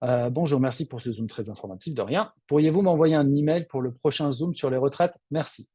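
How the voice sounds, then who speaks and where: Male, webinar adviser fielding questions off the cuff; relaxed, slightly dark tone, dry close sound. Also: medium pitch, fairly quick, breathy edge, no echo. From Lille, northern France. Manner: off-the-cuff, conversational, frank. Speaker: financial adviser hosting a webinar. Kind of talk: informal question-and-answer session, reading out and answering questions.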